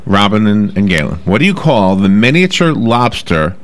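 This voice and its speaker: Chatty New York radio host, low voice. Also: male voice, sing-song, moderate pace. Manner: chatty, laughs easily. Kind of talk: casual radio talk. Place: radio studio. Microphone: desk microphone.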